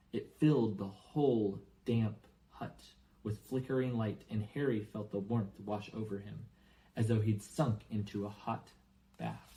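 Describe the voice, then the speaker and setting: A man's voice: lowish voice, mellow, poor audio. A man reading a children's novel aloud as scripted audiobook narration.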